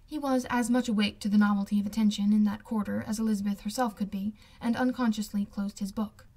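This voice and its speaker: A woman's voice: medium pitch, steady tone, fast talker, dark tone. Woman narrating a classic novel. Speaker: amateur audiobook reader. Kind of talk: scripted literary narration.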